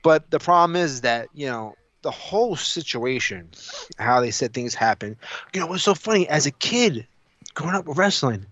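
A man co-hosting a podcast, talking casually and opinionatedly, repeating himself as he retells things in an animated way. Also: a little high, normal speed, no echo. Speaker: podcast co-host. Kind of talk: casual opinionated panel chat. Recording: dull lo-fi audio.

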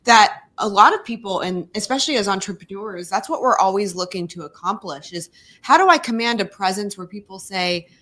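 A woman's voice: medium pitch, animated, fairly quick, pushed and bright. Woman co-host, casual interview chat, enthusiastic. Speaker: podcast co-host.

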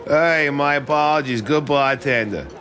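An actor playing a brash young man from Boston with a Boston accent, telling a wild story excitedly. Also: fairly high pitch, normal speed, faint music behind, close to an everyday voice.

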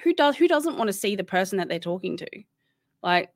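A woman's voice: medium pitch, big pitch swings, a little fast, no room sound, clean studio sound. An Australian woman in her thirties, a guest chatting casually and candidly, rambling with many restarts. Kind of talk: casual interview chat.

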